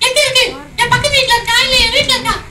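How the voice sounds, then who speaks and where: Brief: very high squeaky girl puppet, flat scolding tone, echoey and noisy. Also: rapid-fire. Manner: scolding, squeaky, sing-song. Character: girl Rosie, upset chicken owner. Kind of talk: children's puppet show. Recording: echoey hall, far mic, loud noise.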